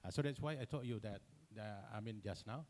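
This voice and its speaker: A middle-aged man from Sumatra with an Indonesian accent, answering a conference question unscripted, halting and full of fillers and self-corrections. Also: lowish voice, a little mellow. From Kerinci, Sumatra, Indonesia. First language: Indonesian regional dialect.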